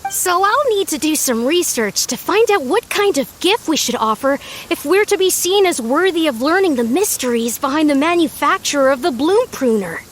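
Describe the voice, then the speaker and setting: A high-voiced, grandly formal young devotee. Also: female voice, expressive, normal speed, hardly any pauses, a little hiss or hum. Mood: proud, determined. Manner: formal, grandiose, orderly wording. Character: earnest, devoted young beetle-battle master, grandly formal. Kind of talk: animated video game voice acting.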